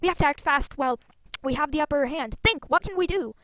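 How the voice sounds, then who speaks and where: A woman's voice: high voice, fast, a bit bright. A woman voicing an earnest, brave young heroine in a fantasy game, with an urgent manner. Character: earnest, brave young female soul rider.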